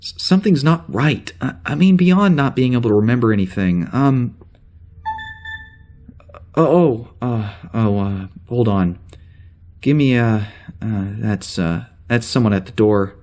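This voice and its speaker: Man acting an anxious, halting spaceship officer. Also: medium pitch, animated, a little mellow, natural voice. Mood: rattled. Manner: halting, hesitant, self-correcting, wry. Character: anxious, rambling male spaceship security officer recording a log. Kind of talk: audio drama monologue, spoken log.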